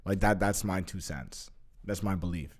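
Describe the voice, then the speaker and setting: Young man, slightly low voice, casual storytelling, animated, lots of fillers, swears freely. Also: normal speed. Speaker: guest.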